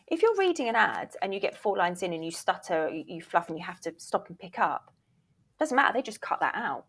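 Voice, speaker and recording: A woman's voice: on the low side, sing-song, fairly quick, a bit bright, husky. Woman, industry expert being interviewed. Casual, encouraging advice delivered with emphasis. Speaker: interview guest, voiceover reel producer and former agent.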